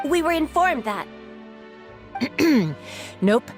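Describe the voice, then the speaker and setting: An actress playing a stiff, reluctant guard in a video game, with a guarded manner. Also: female voice, sits high, very animated, very slow, full character voice. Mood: evasive. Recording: music behind voice.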